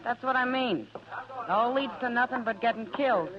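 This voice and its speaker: A woman's voice: a little high, moderate pace, a crowd in the background, natural voice. An actress playing a worldly, protective frontier woman in a radio Western, speaking frankly and with some world-weariness. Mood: frank warning. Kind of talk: 1950s radio Western acting. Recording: dull vintage radio recording with music.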